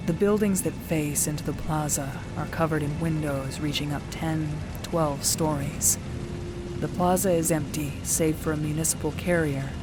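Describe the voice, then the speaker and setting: A woman narrating in first person as a squad leader in a fan audio drama, measured and low, barking orders in the action scenes. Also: steady tone. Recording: loud music bed under the voice.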